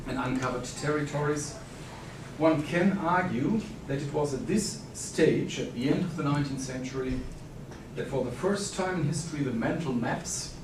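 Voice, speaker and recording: A German-accented man gives a formal academic paper in a measured, pausing delivery. His voice sits at an ordinary middle pitch and carries through a roomy, echoing hall. He is picked up from some distance, with clear background noise under him. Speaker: academic conference speaker. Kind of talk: academic conference paper reading. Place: conference hall. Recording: echoey hall, distant mic, noisy.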